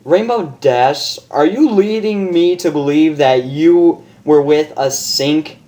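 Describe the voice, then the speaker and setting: Man doing a flustered, high-voiced unicorn doctor. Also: slow pace, mellow. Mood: baffled. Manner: stuttering, incredulous. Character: flustered male unicorn doctor, baffled and stammering.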